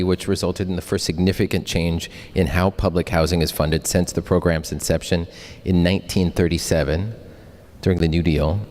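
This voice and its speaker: A man moderating a panel, introducing speakers in a brisk, friendly way. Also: low voice, a little mellow. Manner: brisk, friendly, reads bios fluently. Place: public hall. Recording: reverberant hall.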